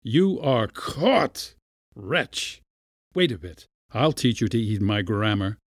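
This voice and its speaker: A man's voice: mid-range voice, very animated, a little slow, darkish tone, breathy edge, no room sound, clean studio sound. A man voicing a pompous, short-tempered Latin schoolmaster in a storybook reading, scolding and giving orders. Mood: gloating menace. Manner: scolding, commanding, ranting. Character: irascible Latin schoolmaster uncle, pompous and furious.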